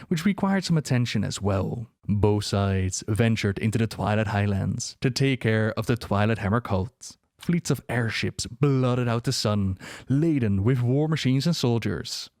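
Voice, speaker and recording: A man narrates game lore as a scripted voice-over with conversational asides. His pitch is average for a man, and the recording is close and dry, with no room sound. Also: darkish tone, no echo.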